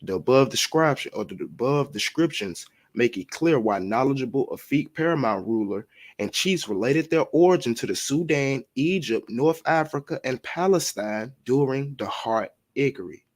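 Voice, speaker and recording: Man, mid-pitched voice, teaching history, reads aloud then recaps, casual fillers. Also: lively intonation, moderate pace. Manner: reads passages then recaps, addresses listeners as family. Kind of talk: reading aloud and explaining history.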